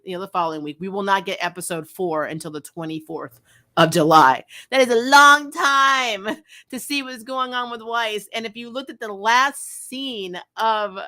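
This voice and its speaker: A woman hosting a casual review, talking in an animated, rambling way as she thinks aloud. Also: medium pitch. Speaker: host of an anime review channel. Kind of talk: casual review chat to camera.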